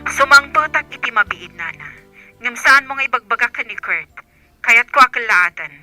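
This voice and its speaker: A woman's voice: a little high, lively intonation, normal speed, sharp tone, no room sound, soft background music, low-quality recording. A woman acting a suspicious, secretive wife and mistress of the house in a radio drama.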